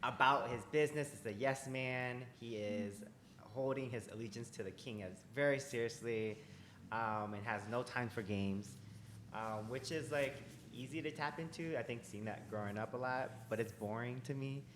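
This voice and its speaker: A man speaking thoughtfully in a casual group discussion, pausing often with ums. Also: mid-range voice, a bit bright. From United States. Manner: thoughtful, hesitant with many ums. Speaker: actor. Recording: roomy echo, faint noise.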